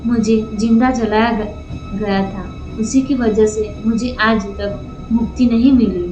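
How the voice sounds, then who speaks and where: A woman's voice: a little high, wildly swooping pitch, slow pace, dark tone, echoey room, highs cut off, soft background music, heavily acted. A woman playing a grave, centuries-old female spirit who gives warnings in a cartoon story. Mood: solemn. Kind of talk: Hindi cartoon story dialogue.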